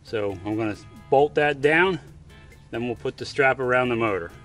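A man giving a casual step-by-step how-to while working on a boat trailer on his driveway, often turning away from a low camera. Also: moderate pace, music in the background. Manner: plain, practical, step-by-step explaining while working. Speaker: DIY vlogger. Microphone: camera mounted low on the ground, voice often off-mic.

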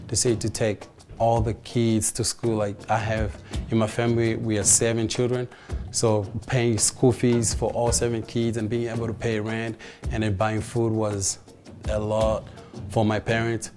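Young man, low flat reflective voice. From Democratic Republic of Congo. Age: young adult. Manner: soft-spoken, reflective, many "like" and "you know" fillers. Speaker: college football player, interviewee. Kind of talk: documentary interview. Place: dark interview set indoors. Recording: music bed under the voice. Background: background music.

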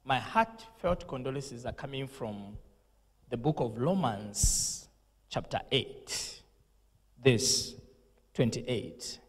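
A man's voice: mid-range voice, animated, long reverb tail. A young man, a pastor, giving a solemn condolence message and prayer into a handheld mic in a studio. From Zambia. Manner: slow, solemn delivery with preacher's emphasis. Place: indoor studio set with purple lighting.

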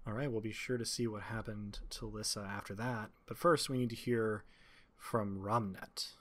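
A man's voice: lowish voice, fairly even intonation, moderate pace, a bit bright. A man casually hosting a tabletop roleplaying game as game master.

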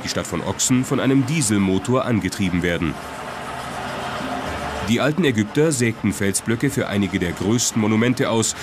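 Low-voiced documentary narrator, man. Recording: music behind the voice. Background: music.

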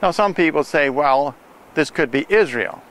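An older man delivering an earnest religious monologue to camera in a deliberate, emphatic, didactic way. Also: a little high, lively intonation, a bit bright. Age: older, 60s-70s. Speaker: video preacher, host of a prophecy program.

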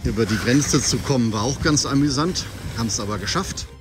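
A man's voice: medium pitch, lively intonation, a little fast. A man in his forties, vlogging from a car and a supermarket, chatty and wry, talking to a camera held at arm's length, with music under his voice. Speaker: travel vlogger. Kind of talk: casual travel vlog. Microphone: handheld camera at arm's length.

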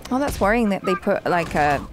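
A woman's voice: medium pitch, expressive, brisk, background noise. A young adult woman in casual reaction chat, speaking into a headset mic as a show host. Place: small indoor room, seen at desk. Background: video game music and sound effects.

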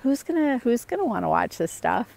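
A woman's voice: a little high, big pitch swings, brisk, creaky, a little mellow. A middle-aged woman chatting casually and candidly to viewers as a homestead vlogger, outdoors on an earbud mic. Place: outdoors in the woods.

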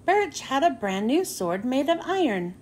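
High-pitched, expressive woman reading a children's storybook. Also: measured pace, slight background noise. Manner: careful, expressive phrasing for young listeners. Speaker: children's book reader and host. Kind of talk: read-aloud storybook narration for children.

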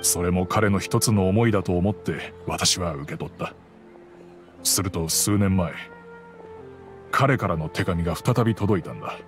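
An actor playing a middle-aged, fatherly master of a wealthy house in an anime game, measured, gentle and remorseful. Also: low voice, natural voice. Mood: grave, regretful. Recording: soft music behind the voice.

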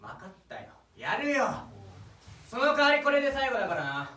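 A man's voice: very high voice, huge pitch swings, normal speed, pushed and bright, heavily acted. Man acting exasperated young climber, distant and echoey. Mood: grudging. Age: young adult. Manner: grumbling, exasperated retorts. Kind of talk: live stage sketch comedy. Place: small theatre stage, indoors. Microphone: far camera. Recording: distant, echoey theatre recording.

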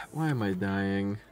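Rambling young man with a slavic accent. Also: fairly low pitch. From Czech Republic or Slovakia. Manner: rambling, self-interrupting with fillers and restarts. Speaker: game streamer. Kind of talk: casual gameplay commentary. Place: home room in front of a gaming chair. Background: faint game music.

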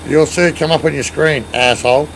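A man's voice: a little high, a little fast, vocal fry, a little hiss or hum, poor audio. A middle-aged Australian man with an Australian accent, streaming a truck game on a headset, bantering and thanking viewers in a casual, swearing way. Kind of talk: casual gaming chat. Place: home gaming room, indoors.

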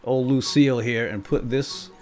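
A man hosting gameplay with excitable role-play commentary, playful and given to exclamations and quick bursts of repeated words. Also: mid-range voice, soft background music. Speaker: gaming video host.

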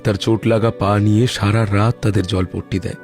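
A man's voice: lowish voice, little pitch movement, a little fast, darkish tone. A man narrating a scripted cartoon story as a storyteller. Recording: prominent music under the voice.

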